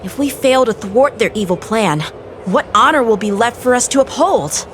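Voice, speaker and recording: A woman's voice: a little high, lively intonation, noisy background, natural voice. An actress voicing a short, dutiful boy lieutenant who speaks earnestly and protests readily. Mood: determined. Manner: earnest, determined, quick to protest. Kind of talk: game voice acting.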